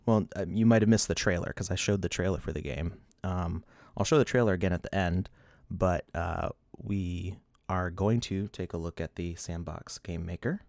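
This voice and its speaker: A man's voice: deep voice, fairly even intonation, moderate pace, gravelly, few pauses, a little mellow, dry recording. A man in his thirties hosting a casual livestream, rambling, laughing often and a little sniffly. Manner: rambling, laughs often, restarts, reads chat. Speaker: livestream host.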